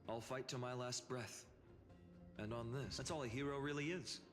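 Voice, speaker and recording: An actor playing a stoic, resolute soldier-hero in a fantasy game, grave and measured. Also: male voice, mid-range voice, fairly even intonation, lightly acted. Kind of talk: scripted fantasy game acting. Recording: long reverb, game music behind.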